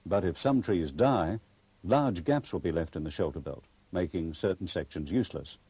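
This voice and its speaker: A man reading scripted instructional video narration in a formal, measured explanatory manner. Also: sits low, warm tone, breathy edge, no room sound.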